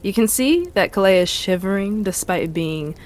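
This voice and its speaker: A woman's voice: mid-range voice, lively intonation, moderate pace. A woman improvising casual narration of their character's actions as a player in a tabletop role-playing game.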